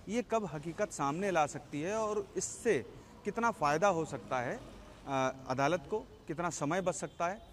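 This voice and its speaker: A male senior correspondent reports live in a somewhat high voice. He explains deliberately, restating key phrases, with faint vehicle-like noise around him.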